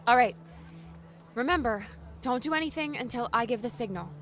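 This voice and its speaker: A man's voice: very high voice, lively intonation, moderate pace, a bit bright, a faint crowd, pitched above the performer's own voice. A man voicing a determined young woman who leads a rescue, with firm, urging commands. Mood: urgent. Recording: background music. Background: music.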